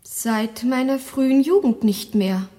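Very high-voiced, poised young lady in reverb actress. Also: a little slow, mellow, natural voice. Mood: sweet, evasive. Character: composed, sweetly evasive young lady guest. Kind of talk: German radio drama acting. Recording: long hall reverb.

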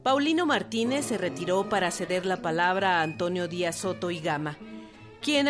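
Woman narrating a historical radio drama. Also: lowish voice. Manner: clear, even storytelling. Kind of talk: scripted narration. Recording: faint music underneath.

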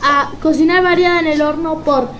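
A woman co-presenting on radio, reading a recipe aloud in a halting, stumbling way. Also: high-pitched, steady tone. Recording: faint background noise.

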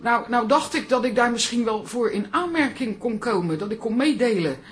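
An actress playing an older, hard-up aunt in a radio comedy drama, with hesitant, wry, self-pitying delivery. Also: female voice, on the high side, lively intonation, normal speed, natural voice. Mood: hesitant pleading. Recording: music behind the voice.

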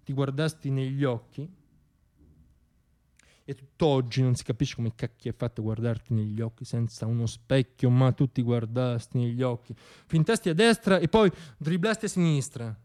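A man performing a theatrical radio monologue, telling a story in a wry, ironic way with dramatic pauses and swells. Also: mid-range voice, a little mellow.

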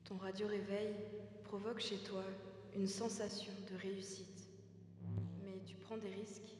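A young adult woman giving a solemn, even literary reading of poetic prose. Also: medium pitch, unhurried. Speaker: student author reading her text. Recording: very echoey hall, audible hiss.

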